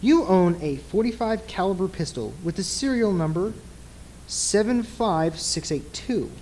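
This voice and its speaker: A man playing an earnest, grave nightclub mentalist in an old-time radio play, speaking formally and deliberately in a husky voice. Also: sits high, expressive, darkish tone, slight background noise, poor audio. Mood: grave, insistent.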